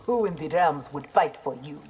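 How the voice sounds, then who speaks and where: A woman with a Nigerian accent playing a proud, principled armoured ninja in a video game, speaking in measured, formal, defiant lines. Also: sits low, lively intonation, darkish tone, slight background noise. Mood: stern, defiant. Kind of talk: video game fight dialogue.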